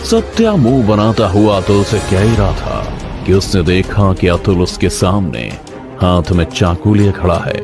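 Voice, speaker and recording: Low-voiced man narrating a suspense story. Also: expressive, a little slow. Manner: suspenseful, dramatic. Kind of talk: scripted dramatic story narration. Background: prominent music.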